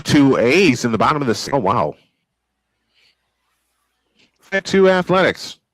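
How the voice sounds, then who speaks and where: American male sportscaster, old-school baseball radio-style calls with casual asides, medium pitch, very dry studio sound. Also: sing-song, a little slow, a bit bright, no room sound. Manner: old-school broadcast delivery. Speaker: play-by-play sportscaster. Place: small home-style studio.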